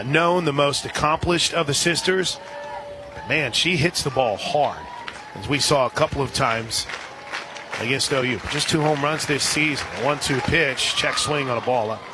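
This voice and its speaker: Higher-voiced male softball announcer over crowd noise. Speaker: radio play-by-play announcer. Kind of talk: live softball play-by-play commentary with casual banter. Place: outdoor softball stadium broadcast position. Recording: outdoor stadium crowd and ambient noise.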